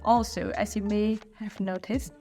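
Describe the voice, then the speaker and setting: A woman's voice: mid-range voice, fairly even intonation, unhurried, mellow, faint music behind. A woman whose first language is German speaks English with a German accent, hosting a history channel in a casual, opinionated video review with small slips and restarts.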